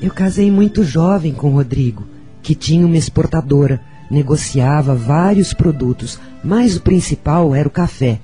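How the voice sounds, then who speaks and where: An actress playing a gentle, motherly Brazilian woman spirit, reflecting solemnly in a radio drama monologue. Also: sits low, expressive, moderate pace. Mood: reminiscing. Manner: solemn, reminiscing. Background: background music.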